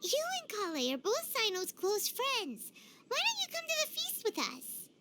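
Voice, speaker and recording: A woman voicing a tiny, chirpy, childlike fairy companion in an animated video game dub, with a squeaky, perky delivery. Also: moderate pace, slight background noise, exaggerated voice. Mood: curious, puzzled. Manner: perky, refers to self in third person. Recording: music behind the voice.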